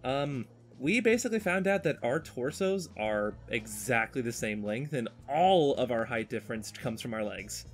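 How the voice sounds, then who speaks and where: A man's voice: medium pitch, lively intonation, moderate pace, hardly any pauses, soft background music. A man chatting casually and talkatively on a podcast while he draws, with a joking, exasperated manner. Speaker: podcast host.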